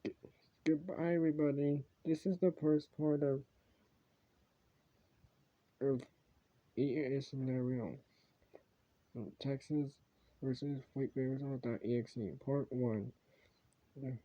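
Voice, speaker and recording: A man speaking casually as an amateur video creator, improvising in a halting, rambling, repetitive way on a dull home recording. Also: medium pitch, steady tone. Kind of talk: casual improvised commentary and sign-offs.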